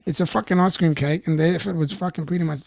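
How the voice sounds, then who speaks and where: A man's voice: fairly high pitch, steady tone, quick pace, creaky, darkish tone. Sweary, blunt Australian man in his fifties. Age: 50s. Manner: blunt, sweary, self-mocking. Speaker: host. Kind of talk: casual group chat.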